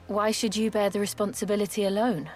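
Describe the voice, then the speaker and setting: An actress playing a compassionate, earnest traveler in a fantasy video game, speaking with concern. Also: female voice, medium pitch, flat delivery, fairly quick, a little mellow, faint music behind, lightly acted. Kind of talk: video game cutscene acting.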